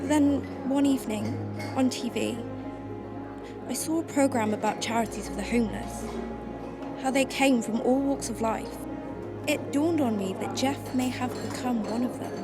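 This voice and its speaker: A woman playing a grieving mother searching for her missing husband in a radio drama, with a halting, emotional delivery. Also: high voice. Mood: subdued, sorrowful. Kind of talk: radio drama acting and first-person narration. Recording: music under the voice.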